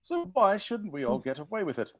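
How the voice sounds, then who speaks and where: A man playing a brisk, theatrical butler who unravels murders with animated, accusing declamation in a read-through of a film script. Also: sits high, a crowd in the background. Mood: coolly scheming.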